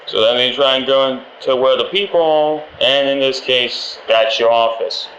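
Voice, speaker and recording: Video game phone guy, friendly employee, hesitant, awkwardly upbeat, mid-pitched, muffled phone recording, faint noise. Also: moderate pace, fairly bright tone, roomy sound. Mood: uneasy, reassuring. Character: friendly, nervous male pizzeria employee leaving phone training message. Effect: telephone voicemail. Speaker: company trainer for night guards. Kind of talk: rambling recorded phone message, game voice acting.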